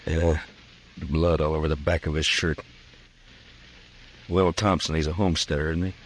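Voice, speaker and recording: An actor playing a stern, level-headed American frontier marshal in an old radio drama, terse and commanding. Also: male voice, very deep voice, fairly even intonation, moderate pace. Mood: grave, terse.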